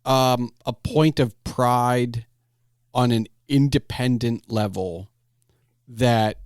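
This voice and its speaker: A man hosting a casual interview podcast, thinking aloud in a halting way and often restarting his phrases. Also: mid-range voice, a little mellow, dry recording, clean studio sound. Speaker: podcast host.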